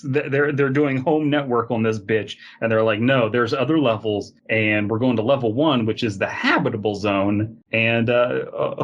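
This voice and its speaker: A man co-hosting a podcast in casual comedic chat, riffing in a rambling, animated, profane way. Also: mid-range voice, muffled recording. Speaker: podcast co-host. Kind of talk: casual comedic chat with read-aloud passages.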